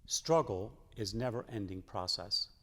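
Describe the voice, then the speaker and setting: Low-voiced man reading in an echoing hall. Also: a little slow, fairly bright tone. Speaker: church member reading quotes aloud. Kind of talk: scripted reading of a historic letter. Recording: echoing hall with long reverb.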